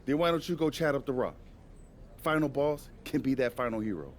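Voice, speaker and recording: A man's voice: mid-range voice, lively intonation, fairly quick, slight background noise, lightly acted. A man playing a guarded older-brother wrestler, speaking blunt American slang in a video game cutscene. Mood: irritated. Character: gruff, guarded big-brother wrestler with a grudge.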